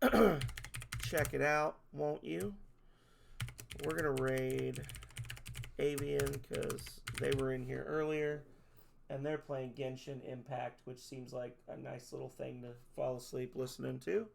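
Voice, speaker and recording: A man, a streamer closing his stream in rambling, self-deprecating casual chat, his voice hoarse as he loses it. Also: mid-range voice, fairly even intonation, slow pace, slight background noise.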